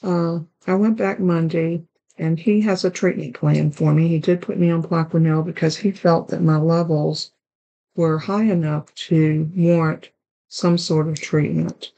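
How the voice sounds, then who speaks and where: A woman with a raspy, hoarse voice hosting a card-making tutorial, chatting along as she works through each step. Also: low voice, mellow, poor audio. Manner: chatty, talks while working with her hands. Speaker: card-making channel host.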